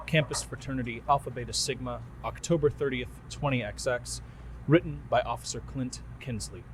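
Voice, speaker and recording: A man's voice: mid-range voice, a little hiss or hum, close to an everyday voice. A man playing an exasperated middle-aged police officer reading out an official report in a radio play. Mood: dry, official. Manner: official, dry, flustered asides. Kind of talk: scripted radio play monologue, formal report.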